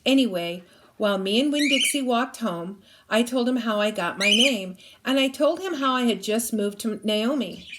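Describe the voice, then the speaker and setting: A woman's voice: a little high, a little hiss or hum. A woman reading a children's novel aloud expressively, like a teacher reading to a class.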